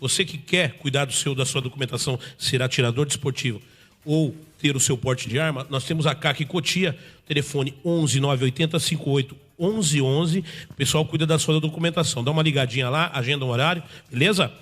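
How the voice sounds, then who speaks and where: A man's voice: on the high side, fairly quick, fairly bright tone. A man from Brazil hosting an interview, eager and upbeat as he reads sponsor plugs. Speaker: host.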